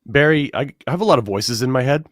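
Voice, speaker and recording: A man's voice: medium pitch, fairly quick, no room sound. A man whose voice is going after bronchitis, joking drily as a guest in a casual interview. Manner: dry, deadpan joking. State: losing his voice after bronchitis. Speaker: comedian guest.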